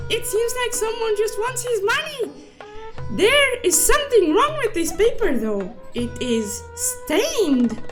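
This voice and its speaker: A woman voicing a silly, excitable cute cartoon unicorn who narrates a comic story recap in a playful, exclaiming, mock-dramatic manner. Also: very high voice, heavily acted. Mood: mock bored.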